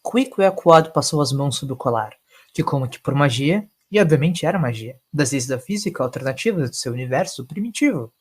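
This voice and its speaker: A man's voice: mid-range voice, lively intonation, a little fast, husky. A man narrating a scripted story in a dramatic storytelling style.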